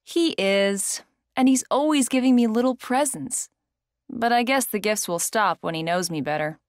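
A woman's voice: on the high side, moderate pace, no room sound, clean studio sound, natural voice. A woman playing someone smitten with a new partner, in a scripted listening-exercise chat. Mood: fond. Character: smitten woman describing her boyfriend.

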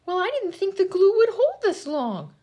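A woman's voice: very high voice, big pitch swings, moderate pace, dark tone. A woman playing a dim, chatty 1920s vaudeville comedienne, slangy and easily indignant, in an old-time radio comedy sketch. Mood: naive, defensive. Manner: scatterbrained, slangy, literal-minded.

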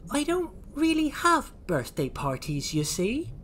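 An innocent young male sea reptile from a storybook, acted out by a storyteller, in a high, lilting voice. He speaks with childlike earnestness and a wistful air. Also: wildly swooping pitch, a little slow, fairly bright tone, a little hiss or hum, exaggerated voice. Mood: sad.

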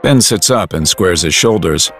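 A man narrates an audio drama in a lowish voice. His scripted storytelling is vivid and animated, with music clearly playing behind him. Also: moderate pace.

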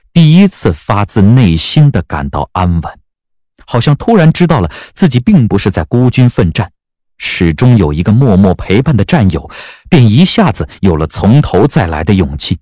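Male audio drama narrator, low voice, very animated intonation, dramatic storytelling, scripted. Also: no echo, studio quality. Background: background music.

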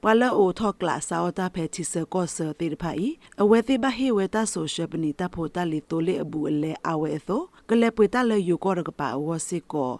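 Female newsreader, slightly low pitch, scripted radio bulletin, dry recording. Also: normal speed, hardly any pauses, darkish tone, no echo. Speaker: radio news presenter. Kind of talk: scripted news reading.

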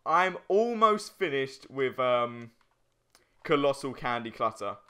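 A man's voice: medium pitch, sing-song, takes it slowly, pushed and bright, smooth. A young man co-hosting a casual gaming video, bantering in a jokey way with crude humour. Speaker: let's play co-host.

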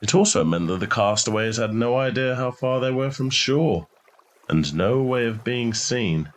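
A man narrating a short story in a measured, expressive literary reading style. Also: mid-range voice.

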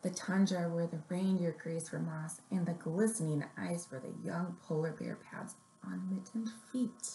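A young woman leading a story time for small children, reading aloud and giving simple instructions in a measured, deliberate way. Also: lowish voice, creaky, warm tone, a little hiss or hum. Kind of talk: picture-book reading and finger plays for young children. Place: small room indoors at home or office. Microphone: webcam or laptop mic at arm's length. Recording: roomy, echoing webcam sound.

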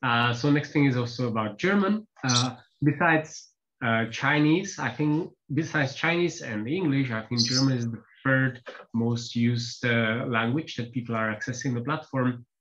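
A man's voice: mid-range voice, a bit bright. Hesitant Czech man on a call. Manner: hesitant, many filler pauses. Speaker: developer presenting updates. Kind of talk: online team call presentation. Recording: slightly dull lo-fi call audio.

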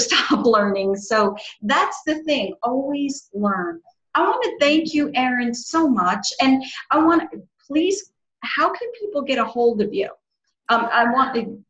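A woman's voice: fairly high pitch, fairly bright tone. A middle-aged woman hosting a conversational interview over a video call, effusive and encouraging. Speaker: host. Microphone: video call, close to webcam. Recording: echoey room, dull video-call audio.

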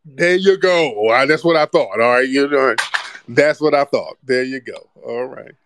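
A man's voice: mid-range voice, moderate pace, a bit bright. A man hosting a live call-in stream, teasing and mocking his callers in loose, rambling chat. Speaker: livestream host taking callers.

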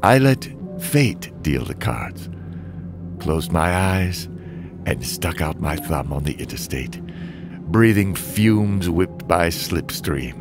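An actor playing a bitter, self-loathing demolition derby driver, narrating a motion comic with brooding, theatrical, drawn-out phrasing. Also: male voice, low-pitched, sing-song, over music, full character voice. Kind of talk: dramatic first-person comic narration.

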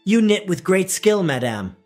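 A sly spy flatters and probes in a soft, confiding voice, as a narrator voices him. His voice is pitched above the reader's own. Faint music plays behind. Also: a little slow. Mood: ingratiating. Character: smooth, ingratiating male spy, sly flatterer.

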